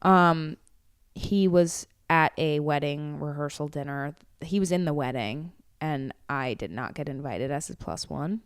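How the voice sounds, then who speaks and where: A woman's voice: low-pitched, smooth, no room sound, clean studio sound. A woman in her twenties co-hosting a podcast, telling candid, profane dating stories in casual chat. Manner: candid storyteller, swears freely, quick laughs. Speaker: podcast co-host.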